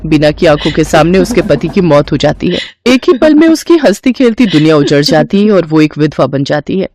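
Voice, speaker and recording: A woman's voice: low-pitched, big pitch swings, brisk, noisy background. Woman narrating a scripted moral tale. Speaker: story narrator.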